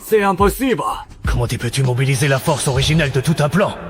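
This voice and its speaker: A man voicing a haughty god who declaims with disdain for mortals in an animated series. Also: mid-range voice, quick pace, lightly acted. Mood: outraged disbelief. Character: arrogant male god, disdainful of mortals, easily outraged. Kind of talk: dramatic animated series dubbing. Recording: loud music behind.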